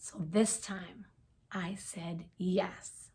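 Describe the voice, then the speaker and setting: A woman's voice: on the low side, fairly even intonation, very slow, dark tone, slightly rough, pitched below the performer's own voice, exaggerated voice. A woman reading aloud, voicing a firm, loving elderly grandmother in a children's storybook. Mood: reassuring.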